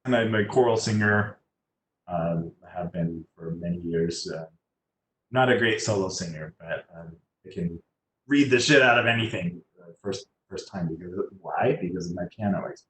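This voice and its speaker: In an echoey room with faint noise behind him, a man gives informal career advice in a low voice. He speaks haltingly, as a guest on a video call, and often lets phrases trail off. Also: fairly bright tone. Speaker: guest, landscape designer and choral musician.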